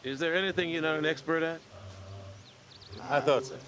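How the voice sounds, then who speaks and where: A man's voice: on the high side, steady tone, a little fast, background noise, natural voice. A man playing an exasperated father. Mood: grumbling. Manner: dry, exasperated. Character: grumbling middle-aged father at a smoker grill.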